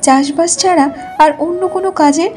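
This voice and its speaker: A woman's voice: very high-pitched, brisk, a little mellow, soft background music. A woman voicing a young, earnest village wife in a cartoon story. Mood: pleading, insistent. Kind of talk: Bengali cartoon story voice acting.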